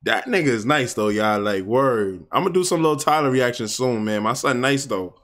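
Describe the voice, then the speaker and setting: A young man hosting a music reaction video. He chats casually and excitably in slang, speaking indoors to a webcam while wearing headphones. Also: mid-range voice, normal speed, background noise. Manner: excitable, slangy, loose and talkative. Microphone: webcam framing, wearing headphones.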